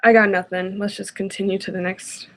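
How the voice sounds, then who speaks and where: A woman's voice: medium pitch, level tone, fairly quick, fairly bright tone, poor audio. A woman giving casual, sarcastic, exasperated reaction commentary.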